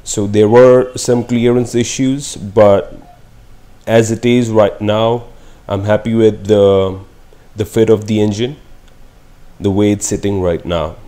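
A man from India walking through a car engine swap in a relaxed explanatory vlog, speaking matter-of-factly with occasional fillers, partly recorded on a phone in a garage workshop. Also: lowish voice. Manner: slow, matter-of-fact walkthrough with fillers. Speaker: car build vlogger. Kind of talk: casual explanatory voice-over and on-site commentary.

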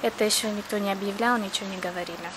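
A woman's voice: medium pitch, steady tone, rapid-fire, background noise. A young woman from Crimea, earnestly answering interview questions in plain speech with frequent hesitations. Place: outdoors near a café.

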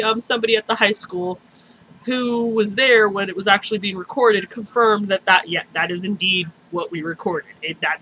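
A young adult woman chatting casually to the camera in an excitable, rambling way, recorded on a camera mic in a small room. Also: fairly high pitch. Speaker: vlogger. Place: small bedroom, indoors. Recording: clearly noisy home camera recording.

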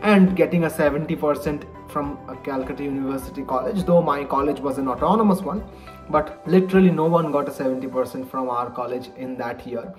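A man's voice: on the high side, fairly quick. Young man, 20s, Indian English accent, native Bengali, earnest advice vlog, home study, faint background music. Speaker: vlogger, college lecturer. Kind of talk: personal advice vlog to camera. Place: home study lined with bookshelves, indoors. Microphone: webcam-style framing, head and shoulders.

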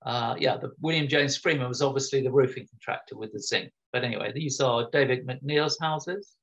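A man's voice: mid-range voice, brisk, a bit bright. A man, giving an informal illustrated local history talk over a video call, chatty with frequent ums and restarts. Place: home room. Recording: dull lo-fi video call audio.

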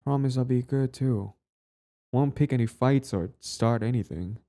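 A man's voice: mid-range voice, unhurried, warm tone, natural voice. A man playing a lovestruck, clingy young bully in intimate romance roleplay audio, stammering, sighing and pleading.

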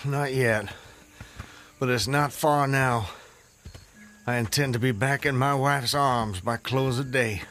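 An actor playing a proud, honor-bound Southern gentleman in a radio drama, with an American Southern accent and formal, florid speech. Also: medium pitch, slow pace, pauses often. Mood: earnest, resolute. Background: music underscore.

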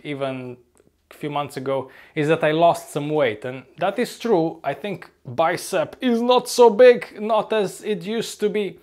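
Upbeat young man, slightly hoarse. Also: on the high side, darkish tone. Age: young adult. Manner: chatty, upbeat, often says "ladies and gents". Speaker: vlogger, flight crew member. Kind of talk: casual vlog to camera. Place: apartment room, indoors. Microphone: lapel mic.

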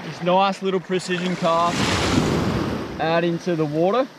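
A young Australian man on a fishing trip, relaxed and chatty with easygoing slang. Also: sits high, moderate pace. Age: young adult. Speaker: fishing mate, guest. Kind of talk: casual outdoor how-to. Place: ocean beach outdoors, in the shallows. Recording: loud surf noise behind.

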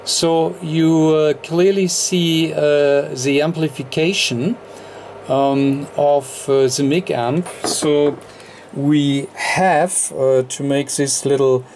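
A man hosting an unscripted technical demonstration at an indoor workbench, speaking haltingly with frequent hesitations mid-phrase. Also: on the high side, steady tone, darkish tone, slight background noise. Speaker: electronics tutorial host.

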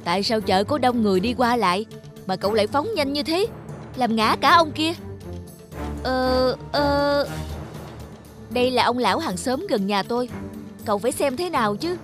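A woman's voice: on the high side, animated, normal speed, pauses often, over music, lightly acted. A woman voicing an outspoken, protective market woman in a cartoon, firm and insistent. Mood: scolding. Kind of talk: cartoon voice acting.

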